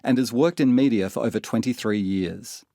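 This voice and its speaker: A man narrates a documentary from a script as its host. His voice is mid-pitched, with a dry sound free of room echo. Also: normal speed, mellow.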